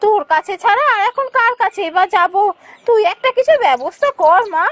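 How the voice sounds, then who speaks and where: A woman's voice: extremely high pitch, lively intonation, brisk, fairly bright tone, no echo, voice pushed up. A woman voicing a scheming cartoon queen who pleads insistently and dramatically, feigning worry. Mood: frantic, alarmed.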